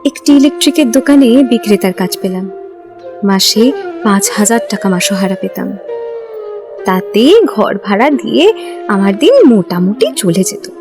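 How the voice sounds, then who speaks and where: A woman's voice: medium pitch, big pitch swings, moderate pace. A woman playing a determined young woman who narrates her own story, dramatic and heartfelt. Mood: reflective. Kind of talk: scripted first-person story narration. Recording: music behind the voice.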